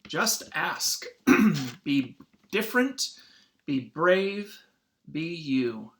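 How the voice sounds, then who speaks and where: A man speaking as a schoolteacher in an informal read-aloud lesson for children, halting and often clearing his throat. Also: a little high, animated, a bit bright.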